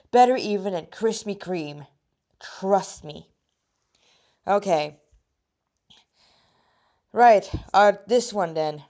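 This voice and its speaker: A woman's voice: medium pitch, darkish tone. Woman with a slightly rusty voice, rambling. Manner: rambling, digressive, often stopping mid-sentence to comment. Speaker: fan fiction author reading her story aloud. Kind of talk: casual reading aloud with chatty asides.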